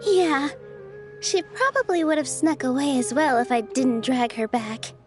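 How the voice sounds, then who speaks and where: Gentle young dancer girl, light high voice, encouraging and kind; game music underneath. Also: expressive, unhurried. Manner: warm-hearted and encouraging, softly coaxing. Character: gentle, cheerful young female theater dancer. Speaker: theater dancer. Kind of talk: game cutscene voice acting.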